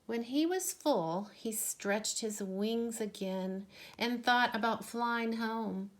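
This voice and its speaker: A woman reading a children's storybook aloud expressively, with measured, deliberate phrasing. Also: fairly high pitch.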